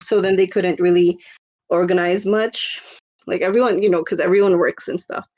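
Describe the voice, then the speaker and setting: A woman's voice: lowish voice, steady tone, normal speed, a little mellow, highs cut off. A woman in her twenties from the Philippines, a podcast guest chatting casually with lots of fillers and easy laughter. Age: 20s. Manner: rambling, filler-heavy, laughs easily. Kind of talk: casual chat.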